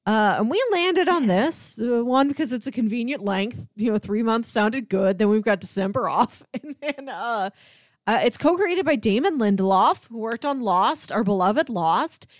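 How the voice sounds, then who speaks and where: A woman's voice: fairly high pitch, animated, normal speed, dry recording, clean studio sound. A woman co-hosting a film and television podcast, talking in a casual, conversational style. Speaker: podcast co-host.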